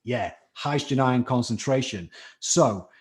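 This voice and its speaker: A man with a touch of Scouse in his accent teaches chemistry in a live online class. He talks in a chatty, encouraging way, greets viewers by name, and his voice sits at an ordinary middle pitch for a man. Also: expressive, unhurried. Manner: chatty, encouraging, reads out chat names. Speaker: chemistry tutor.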